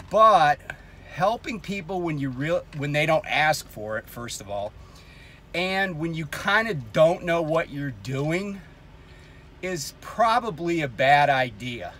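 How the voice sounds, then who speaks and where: A man's voice: on the high side, a bit bright, a little hiss or hum. A man in his fifties, a truck driver hosting his own vlog, rambling with many ums in a projecting voice from inside his parked truck cab. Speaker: truck driver vlogger, host. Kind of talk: casual talking-head vlog. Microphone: camera on the dash at arm's length.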